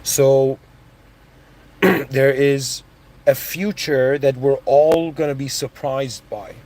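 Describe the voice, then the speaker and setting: A man's voice: mid-range voice, drawn-out words, a little mellow, low-quality recording. A man born in Iran and raised in Canada, giving a casual, rambling motivational talk full of restarts and asides. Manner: rambling, with restarts and "you know what I mean". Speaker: motivational speaker.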